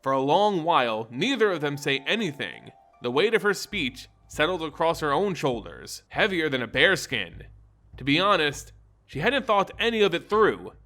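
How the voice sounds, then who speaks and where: A man reading fantasy fiction aloud in a measured, dramatic storytelling manner. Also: on the high side, sing-song, bright, forceful tone. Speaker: narrator. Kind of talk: dramatic fiction audiobook narration. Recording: music behind the voice.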